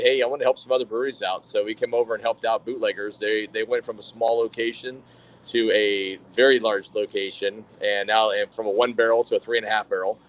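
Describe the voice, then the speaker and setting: A man in his thirties from Florida talks about his path into brewing in a relaxed podcast chat. He wanders through his story with plenty of fillers and false starts, sounding easygoing and unscripted. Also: lowish voice, low-quality recording. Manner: rambling storyteller with frequent fillers and restarts. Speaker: brewery general manager and brewer. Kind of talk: casual podcast interview.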